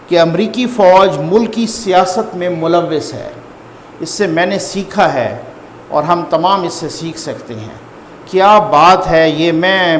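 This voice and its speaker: A man's voice: high voice, a bit bright. A man with a Pakistani accent giving informal news commentary to viewers, conversational and repeating phrases for emphasis. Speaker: host and political news commentator. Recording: echoey reverberant room, faint background noise.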